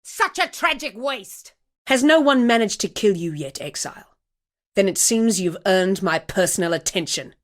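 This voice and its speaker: An actress playing a cruel, seductive sorceress villain in a video game, speaking in a haughty, theatrical, deliberate and taunting way. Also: female voice, mid-range voice, lively intonation, no echo, studio quality, natural voice. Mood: scornful, taunting. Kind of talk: dramatic video game character acting.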